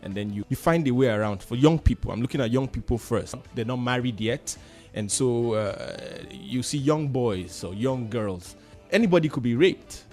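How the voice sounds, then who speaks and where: A man with a Nigerian accent speaking in a radio discussion, halting and reflective, often repeating phrases for emphasis. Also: mid-range voice, expressive, darkish tone, faint music behind. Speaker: discussion panelist.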